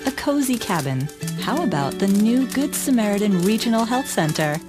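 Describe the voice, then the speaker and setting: A woman's voice: mid-range voice, big pitch swings, moderate pace. A woman doing scripted voice-over narration for a radio advertisement. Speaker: commercial voice-over announcer. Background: music bed.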